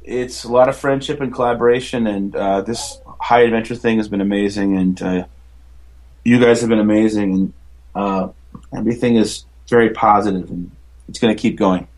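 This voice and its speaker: Male baritone, lowish pitch, chatty and rambling musician guest on a podcast. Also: fairly even intonation, normal speed, darkish tone, rough recording. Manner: rambling, enthusiastic, many fillers and restarts. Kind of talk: casual interview chat.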